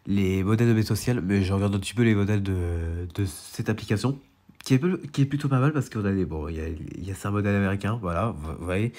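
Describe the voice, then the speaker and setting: A man's voice: fairly low pitch, fast talker, a little mellow. A young man with a stuffy, congested nose from a cold, rambling casually through a weather radar while complaining about being sick. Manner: rambling, repetitive, full of restarts. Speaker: storm chaser and weather vlogger. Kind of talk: casual screen-share walkthrough.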